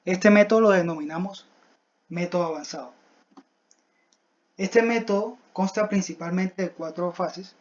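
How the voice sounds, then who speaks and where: Young adult student, medium pitch, scripted technical presentation, halting step-by-step delivery, dull lo-fi recording. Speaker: student presenting a class project.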